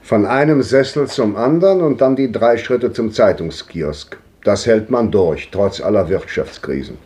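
An actor playing an old gentleman who nostalgically tells old anecdotes, in 1950s radio drama style. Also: on the low side, lively intonation, unhurried. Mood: nostalgic.

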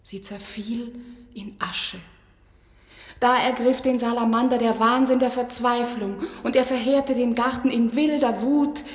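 An actress playing a tender young snake-maiden spirit in a radio fairy tale, solemn, loving and incantatory, with a dreamlike reverb effect. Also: female voice, fairly high pitch. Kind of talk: German radio play acting, fairy-tale storytelling. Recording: long reverberant echo, music behind.

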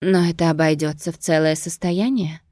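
A wary, proud opera star in a novel, as a woman narrator reads her. She speaks with a sardonic reserve in a slightly low voice. The recording is dry. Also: steady tone, normal speed, darkish tone, dry recording, lightly acted. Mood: cool, reserved. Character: proud Greek opera diva near forty, wary and sardonic.

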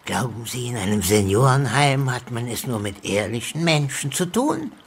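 An actor playing a sly, seemingly confused old emeritus professor in a radio drama, with theatrical, chuckling delivery. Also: male voice, medium pitch, sing-song, normal speed, slightly rough. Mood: sly, amused. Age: older. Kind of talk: German radio drama acting.

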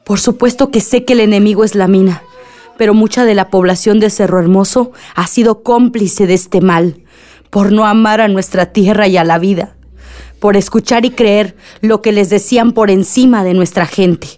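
A woman's voice: medium pitch, steady tone, normal speed, a little mellow, close to an everyday voice. A woman playing a grieving, bitter, defiant mother in a radionovela, emotional and protesting. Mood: resentful grief. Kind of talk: radionovela acting.

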